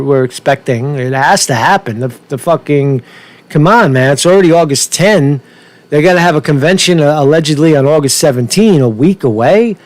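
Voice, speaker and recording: Foul-mouthed man rambling, higher-pitched voice. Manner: profane, slangy, repetitive and stumbling. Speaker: host of his own news commentary show. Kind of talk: casual rambling news commentary.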